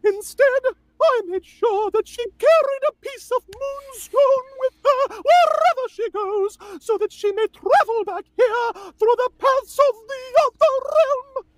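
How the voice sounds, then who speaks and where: A woman playing an ancient, excitable fairy monarch with grandiose, theatrical declaiming, as in a live fantasy table read. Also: extremely high pitch. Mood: dramatic, excited. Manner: grandiose, slow, dramatic declaiming.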